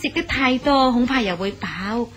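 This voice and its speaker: An actress playing a gracious, friendly wife in a scholar's household, gentle and welcoming, in a radio drama. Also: female voice, a little high, lively intonation, normal speed, slightly rough. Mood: playful. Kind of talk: Cantonese radio drama acting.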